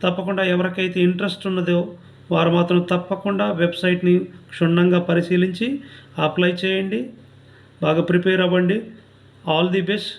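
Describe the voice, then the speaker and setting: A man's voice: high voice, little pitch movement, brisk, creaky, long pauses. A man with a Telugu accent hosting an informational channel, reading out job notification details item by item in an instructional way. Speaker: YouTube channel host.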